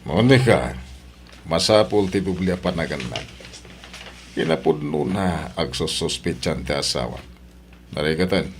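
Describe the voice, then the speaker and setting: A man's voice: rumbling low, a little slow, pauses often, slight background noise. A man with an Ilocano accent playing a married lover in a theatrical audio drama. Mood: low, intimate. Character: Brando, a smooth, married lover who turns greedy and cruel. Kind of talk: melodramatic radio drama acting.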